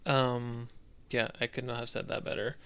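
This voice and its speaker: A man co-hosting a podcast, casually discussing video games, thinking aloud in a halting way and posing questions as he goes. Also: medium pitch, flat delivery, fairly bright tone, clear, resonant tone. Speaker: podcast co-host.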